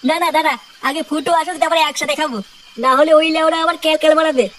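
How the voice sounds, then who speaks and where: Bossy cartoon gang boss guy, high voice, talks fast n sweary. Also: fairly even intonation, a bit bright. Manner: bossy, slangy scolding. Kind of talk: comedy cartoon dialogue.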